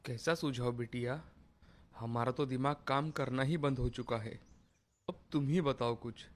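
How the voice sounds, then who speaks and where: A man voicing a humble, poor village father turned food seller, polite and deferential, in an animated moral story. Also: medium pitch, a little slow, natural voice. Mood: worried.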